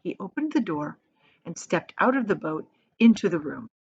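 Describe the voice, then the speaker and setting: Woman, teacher read-aloud, expressive, very varied intonation, dramatic word emphasis, slightly lo-fi sound. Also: fairly low pitch, normal speed, breathy edge.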